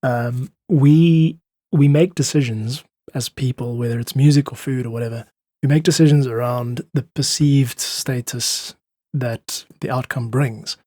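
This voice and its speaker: A man's voice: mid-range voice, steady tone, unhurried, mellow, dry recording, studio quality. A South African man speaking earnestly and thoughtfully in a reflective interview, voicing his opinions as a guest. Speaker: podcast guest, independent musician.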